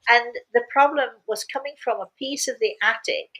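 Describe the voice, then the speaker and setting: A woman's voice: fairly high pitch, moderate pace, sharp tone, rough recording. An older woman speaking as a spiritual medium, telling stories casually and repeating words for emphasis. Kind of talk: casual storytelling talk.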